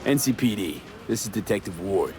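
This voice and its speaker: An actor playing a cool investigator in a video game, with a clipped, matter-of-fact way of talking. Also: male voice, on the low side, animated, fairly quick, lightly acted. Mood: businesslike. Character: cool, blunt male investigator questioning witnesses. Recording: music behind the voice.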